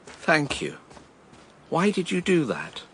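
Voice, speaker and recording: A man's voice: a little high, wildly swooping pitch, slow pace, a bit bright, slight background noise, full character voice. Melancholy, reserved Englishman. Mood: subdued. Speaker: actor in radio drama. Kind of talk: radio drama acting.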